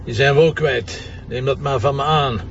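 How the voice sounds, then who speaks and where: An actor playing an impatient, sardonic police commissioner with a Flemish accent, brusque and pressing in a TV detective drama. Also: male voice, medium pitch, steady tone, moderate pace, creaky, a bit bright. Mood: exasperated, urgent. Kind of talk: naturalistic TV detective drama dialogue. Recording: muffled distant sound with background noise.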